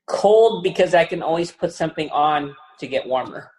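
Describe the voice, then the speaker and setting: A man in his sixties from the Philippines, a guest on a podcast, relaxed and joking in his answers. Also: a little high, normal speed, roomy sound, low-quality recording. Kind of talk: casual interview.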